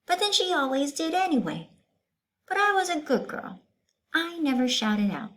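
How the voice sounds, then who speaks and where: A long-suffering schoolteacher from a children's storybook, played by a woman reading aloud. She is aggrieved and emphatic, pushing her voice well up high as she complains. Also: sing-song, normal speed, fairly bright tone. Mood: hurt, aggrieved.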